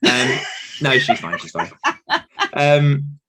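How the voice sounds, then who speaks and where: A man's voice: medium pitch, lively intonation, slow pace, pushed and bright, slight background noise. A man in his thirties chatting casually and reflectively on a podcast, rambling and self-deprecating in manner. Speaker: podcast host and author telling his own story.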